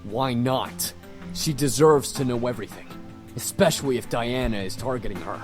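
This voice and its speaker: A man's voice: medium pitch, normal speed, natural voice. An actor playing a blunt, insistent young man in a visual novel. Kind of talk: visual novel game voice acting. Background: faint music.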